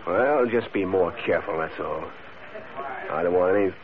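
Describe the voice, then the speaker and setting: An actor playing a stern, suspicious, hard-boiled crook boss in a 1940s radio drama. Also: male voice, low voice, moderate pace, lightly acted. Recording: tinny, narrow old radio recording, crowd behind.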